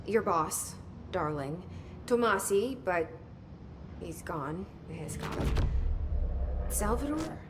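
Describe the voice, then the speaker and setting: A woman's voice: lowish voice, lively intonation, slow, long pauses, very noisy. A woman playing a guarded, searching new agency director in a video game, speaking tersely and introspectively. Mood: probing.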